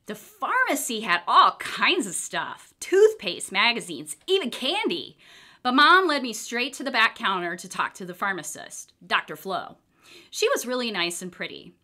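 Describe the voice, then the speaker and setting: A woman reading a children's book aloud in an expressive storytelling style. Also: a little high, normal speed. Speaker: storybook reader.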